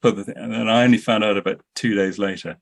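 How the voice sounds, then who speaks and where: Male filmmaker, lowish voice, anecdotal interview delivery with plenty of false starts. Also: fairly even intonation, quick pace, vocal fry.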